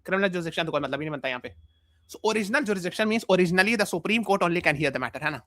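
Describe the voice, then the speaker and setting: A man's voice: high voice, animated, rapid-fire, a bit bright, no room sound. A man with an Indian accent teaching a live online exam class while mildly feverish, explaining points repeatedly and checking with questions.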